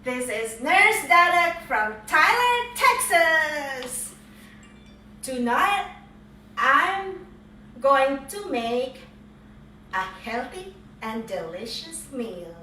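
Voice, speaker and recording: A woman's voice: high-pitched, very animated, very slow, sharp tone, slight background noise. A middle-aged woman cheerfully demonstrating a home recipe in a casual, joking way, recorded from across her kitchen. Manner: playful, jokey, cheery "ta-da" exclamations. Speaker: home cook vlogger. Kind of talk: casual cooking demo. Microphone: far camera. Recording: roomy kitchen echo, distant mic, faint kitchen clatter.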